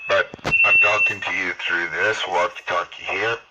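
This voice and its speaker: A man's voice: low-pitched, steady tone, a little slow, muffled recording. A man casually demonstrating something, his voice relayed through a walkie-talkie radio. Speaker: amateur tutorial presenter. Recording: loud feedback noise through walkie-talkie speaker.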